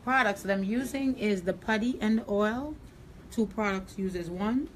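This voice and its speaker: A woman's voice: medium pitch, normal speed, slight background noise. A woman with a Jamaican accent, a hairstylist giving a live tutorial in a salon, talking bluntly and directly. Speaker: hairstylist and educator. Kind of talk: live tutorial while cutting hair. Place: hair salon.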